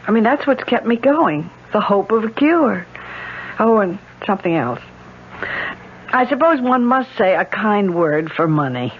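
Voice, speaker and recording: An American actress playing a proud, bitter, rich middle-aged widow in a radio drama, speaking with precise, sardonic theatricality. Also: female voice, medium pitch, sing-song, unhurried. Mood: bitter, weary. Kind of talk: 1970s radio drama acting. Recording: dull, noisy 1970s radio recording.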